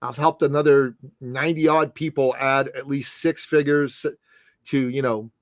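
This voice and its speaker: A man's voice: medium pitch, steady tone, a little slow, dry recording, studio quality. A man in his late fifties, a success coach, talking in a podcast interview in an emphatic, punchy way. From Middle East. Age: 50s. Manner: emphatic, punchy, calls host "brother" and "man".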